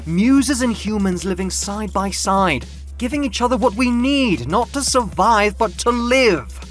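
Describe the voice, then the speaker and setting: A proud winged muse, acted out by a man for an audio comic book, declaims in a high, sweeping voice. He is indignant and grandiose, pitching his speeches like an impassioned orator, with music behind him. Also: expressive, unhurried, a bit bright. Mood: fervent.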